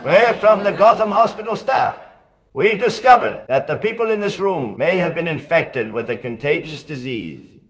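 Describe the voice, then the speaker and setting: A man's voice: a little high, expressive, normal speed, husky. A man voicing an officious hospital staffer giving formal orders in a motion comic. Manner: formal, authoritative.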